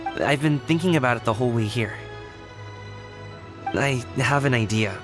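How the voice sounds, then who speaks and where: Guy acting as sincere young game hero, kinda hesitant, mid pitch, music behind him. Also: moderate pace, lightly acted. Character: earnest, considerate young man, hesitant to trouble friends.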